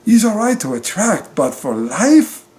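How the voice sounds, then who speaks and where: A man reading a storybook, voicing a blunt, mysterious female fortune teller with dramatic, drawn-out phrasing. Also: high-pitched, sing-song, darkish tone, voice pushed up. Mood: ominous, dismissive.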